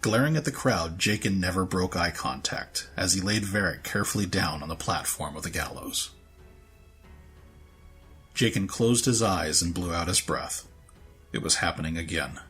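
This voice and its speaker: A male narrator with a deep, low voice reads a dramatic scripted story. Faint music plays behind the narration. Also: animated, moderate pace, a bit bright.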